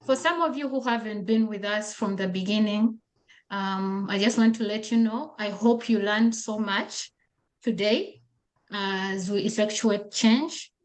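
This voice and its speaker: A woman's voice: mid-range voice, unhurried. A woman from Uganda hosting a video call, giving welcoming remarks with thanks and many fillers. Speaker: event host.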